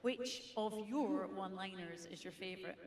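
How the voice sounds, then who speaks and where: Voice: mid-range voice, moderate pace. An interviewer asking casual questions, opening with hesitant fillers. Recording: distant roomy mic with faint crowd.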